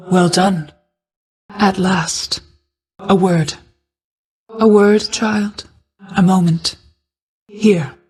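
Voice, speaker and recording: A woman playing an ancient, stern yet motherly goddess of night in a video game, speaking in a formal, measured, restrained way. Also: fairly low pitch, darkish tone, natural voice. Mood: composed, gracious. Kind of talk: scripted video game voice acting.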